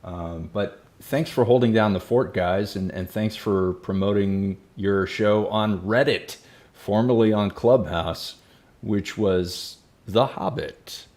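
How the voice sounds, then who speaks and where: A man's voice: fairly low pitch, slow pace, a little mellow. A man hosting a podcast, upbeat and friendly, asking his guests questions in casual chat. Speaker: podcast host.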